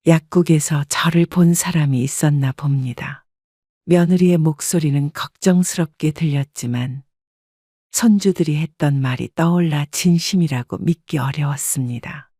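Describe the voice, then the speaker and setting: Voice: medium pitch, moderate pace, mellow, no room sound, clean studio sound. A narrator reading a scripted first-person story in a composed storytelling manner.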